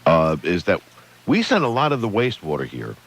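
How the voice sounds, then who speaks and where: A man's voice: low-pitched, huge pitch swings, brisk. A man co-hosting a radio talk show, chatty and wry in manner. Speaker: radio co-host.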